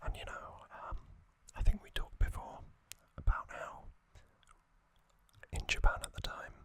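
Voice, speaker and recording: An ASMR host whispering slowly, rambling through trivia with fillers and musings. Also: a bit bright. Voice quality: unvoiced whisper.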